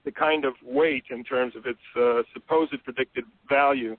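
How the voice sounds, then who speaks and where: A man's voice: mid-range voice, fairly even intonation, normal speed, no room sound. A male host who steers the interview with jovial, prompting questions. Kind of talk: podcast interview talk. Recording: dry tinny phone line.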